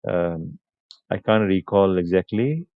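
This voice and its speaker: A man hosts a live stream, speaking in an unhurried, chatty way and laughing now and then. His low voice is smooth and clear. The sound is dry and a little muffled. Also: expressive, no echo. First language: Punjabi. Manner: unhurried, chatty, answers comments, laughs often. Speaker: livestream host. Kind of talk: casual live stream chat with viewers.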